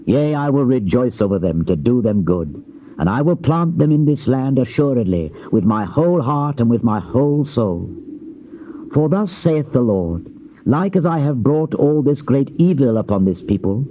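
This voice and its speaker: An actor playing a fervent, solemn Old Testament prophet in a theatrical scripture drama, with grave, declamatory and measured archaic delivery. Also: male voice, medium pitch, sing-song, natural voice. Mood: solemn promise. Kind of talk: dramatized King James scripture reading, theatrical. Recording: muffled old cassette tape with hiss.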